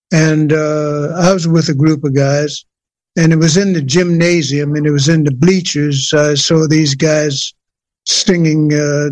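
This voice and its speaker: An older man with a somewhat high voice recalls his early days in a relaxed, halting way, full of "uh"s and restarts. The sound is close and dry, with no room around it. He takes his time, a singer chatting about how he got started. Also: no room sound. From USA, New Jersey. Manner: hesitant, many fillers and restarts. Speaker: guest singer. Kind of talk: relaxed interview storytelling.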